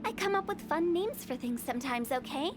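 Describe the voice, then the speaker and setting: A woman's voice: high voice, animated, a little fast, noisy background. A woman voicing a quirky, cryptic teenage girl funeral director in a video game, with a theatrical, articulate delivery. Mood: playful, teasing. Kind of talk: video game voice acting, storytelling. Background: game music.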